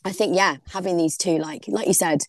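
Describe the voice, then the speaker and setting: A woman's voice: fairly low pitch, fairly quick, no echo. A young woman, a DJ guest on a podcast, chatting casually and filling her talk with "like". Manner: chatty, heavy use of "like", laughs easily. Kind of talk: casual interview chat.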